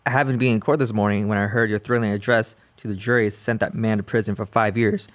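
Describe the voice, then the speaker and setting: A man's voice: on the low side, fairly even intonation, quick pace, natural voice. A man doing a pompous crime-fighting reformer. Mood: earnest. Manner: formal, earnest, stiff speeches. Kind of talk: radio play acting.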